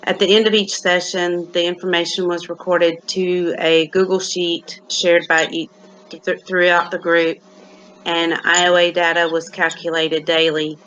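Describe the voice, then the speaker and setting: A young woman from Alabama presents to a class in a slow, bright voice. Her tone stays even, and her delivery is halting, with many "um" pauses. The recording sounds somewhat dull. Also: on the low side. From Athens, Alabama, USA. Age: young adult. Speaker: student presenter.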